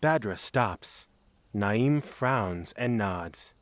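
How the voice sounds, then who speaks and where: A man's voice: fairly low pitch, expressive, very slow, dry recording. Man narrating a fantasy story. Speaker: narrator of an audio drama.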